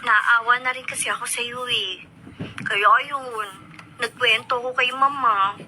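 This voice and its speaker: An actress playing a caring, chatty young student in a radio drama, expressive and coaxing. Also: female voice, a little high, slow, slight background noise, exaggerated voice, low-quality recording. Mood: concerned, confiding. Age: young adult. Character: caring, chatty young female student confiding in her cousin.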